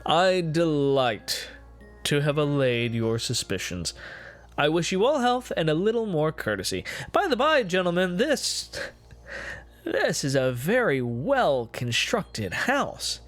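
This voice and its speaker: A man playing an unhinged, gloating murderer confessing his crime in a theatrical, deliberate gothic horror reading. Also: fairly high pitch, sing-song, fairly bright tone, faint music behind, heavily acted. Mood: manic bravado. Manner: theatrical, ornate, deliberate phrasing with swelling emphasis.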